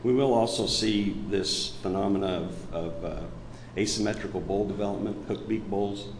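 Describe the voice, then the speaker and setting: A man's voice: on the low side, normal speed, noisy background. A man with a chest cold giving a research presentation, walking through his slides and pointing out details. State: chest cold, voice deeper than usual. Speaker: crop researcher presenting findings. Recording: roomy echo.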